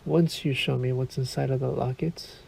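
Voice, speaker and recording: A man performs a courtly, sadistic vampire lord for a roleplay audio drama. His voice is creaky and low-lit, held to a very even, restrained melody. He toys with the listener in polite, silky menace. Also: slight background noise. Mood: coaxing, insistent. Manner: suave, menacing, drawn-out formal politeness.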